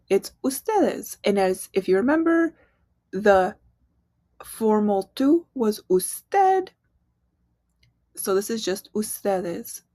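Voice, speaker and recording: A woman's voice: medium pitch, darkish tone, dry recording. A young woman, an English speaker, teaching a casual online Spanish lesson in a chatty way, with fillers and quiz-style prompts.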